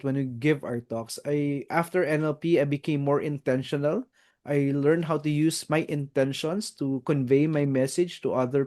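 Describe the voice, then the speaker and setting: A man from the Philippines, hosting as an NLP master trainer, speaking in a confident, steady conversational way with "uh" pauses and "right?" tags. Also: mid-range voice. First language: not English. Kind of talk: conversational interview.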